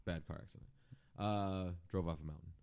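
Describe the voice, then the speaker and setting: A man, a guest musician, chatting casually in a soft, halting way, often breaking into laughter. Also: sits low, steady tone, gravelly, slight background noise. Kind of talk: casual chat.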